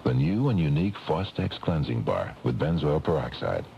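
Very deep, gravelly male announcer. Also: very animated, moderate pace. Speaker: commercial announcer. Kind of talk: scripted radio ad read. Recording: dull, noisy old cassette radio recording.

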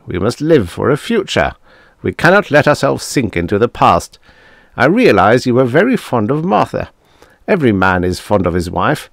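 Man doing a booming jovial old professor. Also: gravelly, no room sound, clean studio sound. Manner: hearty, chuckling, overbearing. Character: stout jovial older male professor, blustering.

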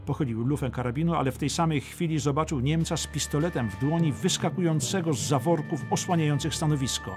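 A man's voice: on the high side, fast talker, few pauses. A man reading a scripted, dramatic history audiobook as narrator. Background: music.

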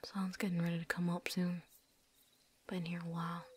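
A world-weary female vampire hunter, acted by a woman for an ASMR roleplay, speaks in a breathy, husky whisper. The voice sits fairly low. The manner is blunt and loyal, with a folksy put-on drawl and dropped word endings. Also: monotone, moderate pace. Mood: low, steady.

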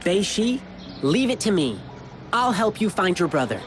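Lively, earnest young lion dancer. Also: male voice, high voice, normal speed, slight background noise. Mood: earnest, attentive. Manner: earnest, expressive, reassuring. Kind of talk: video game character dialogue. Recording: game music behind the voice. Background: game music.

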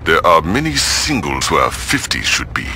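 An actor playing a menacing gang boss in a video game, speaking with formal, coldly polite measure. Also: male voice, extremely low pitch, sing-song, normal speed, bright, forceful tone, exaggerated voice. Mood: cold, measured. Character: menacing, courteous gang boss called the general. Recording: clearly noisy, car interior ambience.

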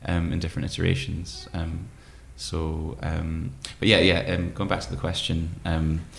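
Male interview guest, thirties, very low voice with vocal fry, tentative and reflective. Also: flat delivery. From Scottish Highlands. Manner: hesitant, thoughtful, halting. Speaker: guest, poet and musician. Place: room with tall windows, indoors. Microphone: microphone on desk stand.

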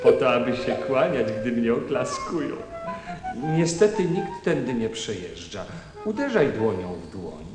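Vain, flamboyant, echoey show-off. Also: male voice, mid-range voice, very animated, measured pace. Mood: vain, gushing. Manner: conceited, flamboyant, gushing. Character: vain show-off man hungry for admiration and applause. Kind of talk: theatrical radio play acting. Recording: echoey reverb, music clearly behind.

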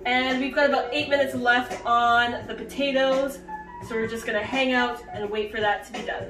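A woman's voice: fairly high pitch, steady tone, moderate pace. A young adult woman giving a casual, informal cooking demonstration as a home cook in her kitchen. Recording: roomy echo, distant mic, background music. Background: music.

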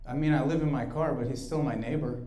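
A man's voice: mid-range voice, fairly even intonation, fast talker, over music. Man doing stand-up in a roomy bar. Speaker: stand-up comedian. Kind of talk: stand-up comedy set. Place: small dark bar room.

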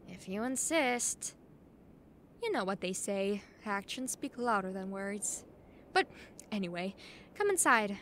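A woman plays a caring young woman at home with her crush in an audio roleplay. Her voice sits at a middle pitch. She talks casually and intimately, gently teasing, with small hesitations. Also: a little hiss or hum, lightly acted. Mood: caring, playfully insistent. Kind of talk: intimate ASMR-style audio roleplay, casual one-sided chat.

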